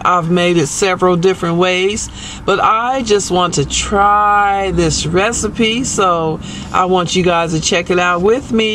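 A middle-aged woman vlogging about cooking, her voice hoarse from a cold, chatting eagerly to viewers. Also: medium pitch, bright, forceful tone. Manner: chatty, enthusiastic. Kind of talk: casual cooking vlog. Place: parked car. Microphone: phone camera held close.

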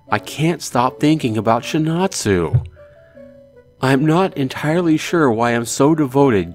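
A man's voice: mid-range voice, pitched above the performer's own voice, lightly acted. A man voicing a glum teenage schoolboy in a visual novel, sulky, grumbling and dejected.

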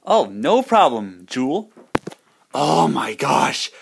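A man's voice: medium pitch, big pitch swings, takes it slowly, creaky, slight background noise, voice pushed down, full character voice. A man voicing a male bird plush toy in a homemade skit, speaking in a friendly, put-on voice.

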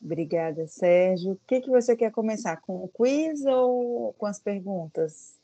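A woman's voice: mid-range voice, lively intonation, unhurried, warm tone, muffled recording. A woman moderating an online webinar, asking the presenter politely how he wants to proceed. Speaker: event moderator. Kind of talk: casual webinar chat. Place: home office. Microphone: video call.

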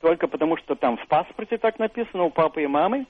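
A man's voice: a little high, lively intonation, quick pace, no echo. An older man, an author and university professor, answering interview questions on the radio in a thoughtful, hesitant way. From Russia. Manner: thoughtful, hesitant, many fillers and restarts. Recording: narrow phone-like radio sound, dry.

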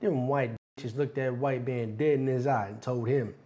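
A man playing a brash, bullying older strip club boss in an acted film monologue, streetwise and repetitive, with drawn-out emphasis. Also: medium pitch, fairly even intonation, dark tone, lightly acted. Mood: sly storytelling.

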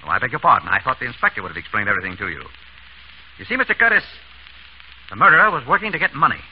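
A fast-talking, confident doctor sleuth played by a male actor in a 1930s radio mystery. He is glib and commanding, with swooping intonation. The recording is muffled and noisy. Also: mid-range voice, very animated, close to an everyday voice. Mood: brisk explaining. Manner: brisk, glib, authoritative. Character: quick-witted, confident doctor playing amateur detective.

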